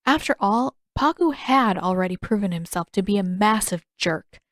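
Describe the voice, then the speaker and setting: Female narrator, scripted audiobook reading, medium pitch, dry close recording. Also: animated, moderate pace, no echo. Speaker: fan fiction author reading own story.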